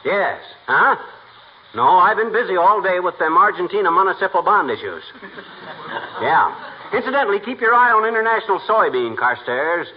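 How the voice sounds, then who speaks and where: A folksy, boastful small-town husband in a 1940s radio comedy. His voice sits on the high side and he blusters in slangy wisecracks. The recording is muffled, with clear noise behind him. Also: big pitch swings, moderate pace. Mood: self-important.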